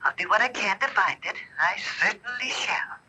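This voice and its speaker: A woman's voice: mid-range voice, expressive, normal speed, pushed and bright, husky, full character voice. An actress playing a motherly but evasive landlady in a radio mystery drama, soothing and fussy in manner. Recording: tinny old AM radio tape.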